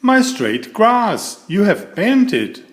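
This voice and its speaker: Man putting on a high, fussy, indignant little man. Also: big pitch swings, slow, full character voice. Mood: horrified, indignant. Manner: spluttering, indignant, precise. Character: fussy, easily horrified, tidy little man. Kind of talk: storybook character voice. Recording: roomy, echoing sound.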